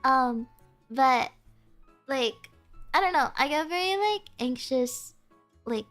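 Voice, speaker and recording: Giggly high-voiced young woman streamer. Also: animated, slow, fairly bright tone, faint music behind. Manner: bubbly, giggly, lots of "like" fillers. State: stuffy nose, sniffly. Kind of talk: casual chat with stream chat.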